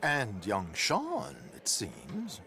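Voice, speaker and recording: An actor playing a dapper, theatrical gentleman rogue in a Western game, with genteel, flowery, teasing speech. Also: lowish voice, huge pitch swings, very slow, creaky, a little hiss or hum, exaggerated voice. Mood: measured. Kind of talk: video game cutscene acting.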